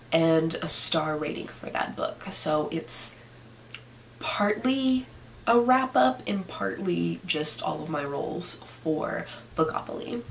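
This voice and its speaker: A young woman with a hoarse voice, recovering from an illness, chatting casually in a reading wrap-up vlog and counting dice rolls aloud at home at night. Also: lowish voice, a little hiss or hum, low-quality recording. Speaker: book vlogger.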